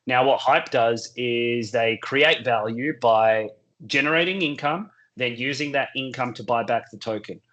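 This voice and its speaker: Hoarse man giving a market update. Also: mid-range voice, a bit bright, dull audio. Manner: measured, explanatory, works through points in numbered order. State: sore throat, losing his voice. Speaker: crypto market commentator. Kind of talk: solo market update recording.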